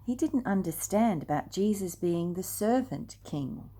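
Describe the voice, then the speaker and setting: An older woman reading a picture book aloud expressively and deliberately, speaking directly to young listeners. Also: medium pitch, lively intonation, mellow, slightly rough. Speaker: storyteller.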